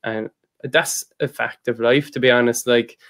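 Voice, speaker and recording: An Irish man with a mid-range voice hosts a podcast chat. He talks in a relaxed, loose way and leans on "like" as a filler. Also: medium pitch, unhurried. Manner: relaxed, rambling, with many "like" fillers. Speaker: podcast host. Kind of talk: casual conversation.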